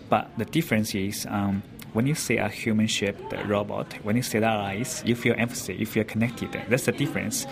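Low, creaky man with Chinese accent. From China. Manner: non-native, hesitant English. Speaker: robotics company representative. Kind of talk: interview, explaining. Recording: noisy recording at a public event.

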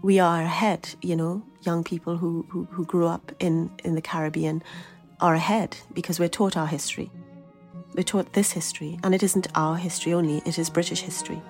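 Gravelly-voiced woman researcher. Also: fairly low pitch, level tone, brisk, a little mellow, soft background music. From Trinidad. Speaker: researcher and writer. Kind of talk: interview answers.

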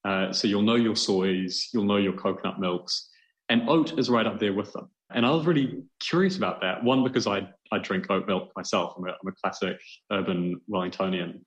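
A man's voice: lowish voice, normal speed, lo-fi sound. A man with a New Zealand accent explaining things conversationally as a journalist being interviewed, with an enthusiastic manner. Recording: roomy, echoing sound.

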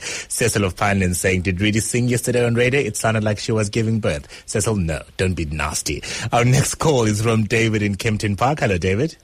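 A man's voice: lowish voice, breathy edge, no room sound. A man hosting a radio call-in show, friendly and joking with callers. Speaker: radio host.

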